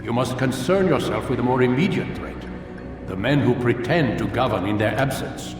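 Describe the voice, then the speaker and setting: An actor playing a stern, measured old master of assassins in a video game, with solemn, formal speech. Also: male voice, mid-range voice, lively intonation, distant mic, lightly acted. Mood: grave, commanding. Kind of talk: dramatic video game acting. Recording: echoey hall with long reverb, music behind.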